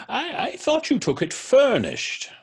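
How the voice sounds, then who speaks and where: Hesitant, husky old-fashioned English gentleman. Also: very high voice, wildly swooping pitch, slow, full character voice. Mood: affable, hesitant. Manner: stammering, chuckling, old-fashioned upper-class politeness. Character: hesitant, genial English gentleman card player, grumbling mildly. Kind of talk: 1930s-style radio drama acting.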